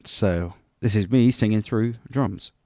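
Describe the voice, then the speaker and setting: A man's voice: lowish voice, measured pace, dark tone, dry recording, clean studio sound. A man presenting a casual, unscripted music production tutorial, rambling hesitantly and a little self-deprecating.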